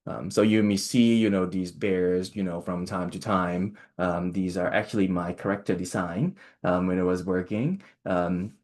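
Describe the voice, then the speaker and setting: A young man from Thailand, a postdoctoral researcher, giving a seminar talk in a halting way with many fillers and restarts. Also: low-pitched, a little mellow.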